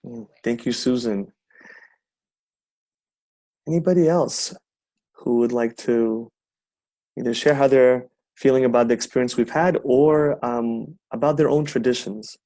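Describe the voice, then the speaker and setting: A man's voice: medium pitch, unhurried, long pauses, mellow, no room sound, rough recording. A man moderating a video call, talking casually with many fillers and restarts. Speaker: event co-host, moderator.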